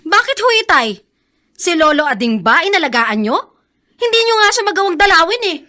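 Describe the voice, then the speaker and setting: An actress playing a young, sharp-tongued, resentful Filipina daughter in a radio drama. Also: female voice, extremely high pitch, very animated, fairly quick, full character voice. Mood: resentful, defiant.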